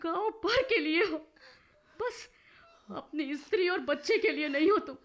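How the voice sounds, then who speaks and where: Radio play: aggrieved young wife, very high, animated, emotional, caring underneath. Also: female voice, unhurried. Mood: tearful scolding. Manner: emotional, reproachful. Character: aggrieved young village wife and mother, scolding but caring. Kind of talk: Hindi radio drama acting.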